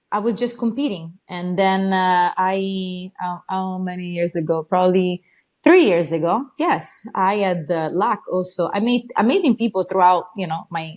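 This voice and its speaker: A woman from Italy with an Italian accent, a native Italian speaker, talking eagerly as a guest in a casual interview, with many fillers and restarts. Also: fairly low pitch. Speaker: guest, horse trainer.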